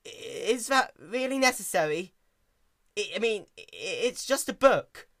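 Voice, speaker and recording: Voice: medium pitch, very animated, a little slow, fairly bright tone, no room sound, studio quality, voice pushed up. A performer voicing a nervous, apologetic young male pony who stutters and hesitates in a storybook reading. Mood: anxious, pleading.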